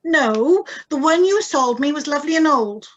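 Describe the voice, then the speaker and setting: A woman playing an eager, stubborn and excitable wife in a radio drama reading, wide-eyed and insistent. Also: high voice, normal speed. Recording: live video-call recording.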